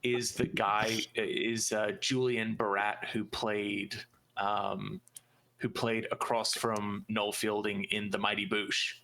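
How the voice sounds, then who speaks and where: Guy podcast host, bright voice, just casually chatting like a fan. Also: on the low side, flat delivery, slow pace, slight background noise, rough recording. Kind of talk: casual chat about movies and games.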